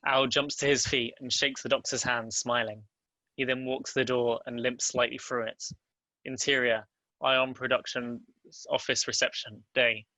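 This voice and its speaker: Bright, even-toned man reading stage directions. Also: medium pitch, normal speed, dry recording, poor audio. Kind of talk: scripted narration at a table read.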